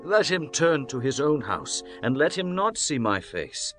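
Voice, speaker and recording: A man's voice: a little high, sing-song, unhurried, faint music behind. An actor playing a grave, commanding old king in a dramatized scripture reading, with formal declamatory delivery. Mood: stern. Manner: declamatory, archaic formal phrasing.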